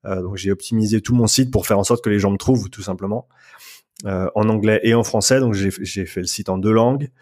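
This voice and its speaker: Male guest, low-ish voice, casual and hesitant, restarts often. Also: fairly quick, a little mellow. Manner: hesitant, many restarts and fillers; bilingual. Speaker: guest, strength coach. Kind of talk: casual podcast interview.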